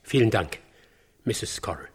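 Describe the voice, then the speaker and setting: An actor playing a polite, halting field reporter in a radio drama, with a husky voice. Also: male voice, on the low side, animated, exaggerated voice. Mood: sober, probing. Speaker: on-site reporter.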